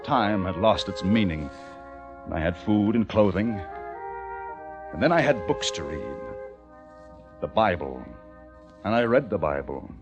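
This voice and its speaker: An actor playing a haunted, educated young American survivor, narrating intensely in the theatrical style of old-time radio drama. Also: male voice, low-pitched, animated, a little slow, long pauses, dull audio. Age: young adult. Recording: vintage radio recording with music behind.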